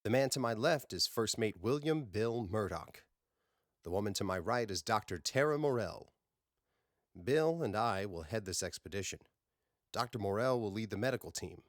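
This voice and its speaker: A seasoned, courteous starship captain, read by a male narrator in a science fiction audiobook. The voice is low and formal with measured phrasing, recorded dry. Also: expressive, dry recording, natural voice. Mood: formal, commanding.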